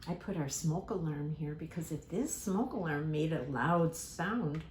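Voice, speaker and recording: A woman giving a simple lesson to young children, speaking deliberately, repeating key words and acting out examples. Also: sits low. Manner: animated, acts out examples with props. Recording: echoey room with faint background noise.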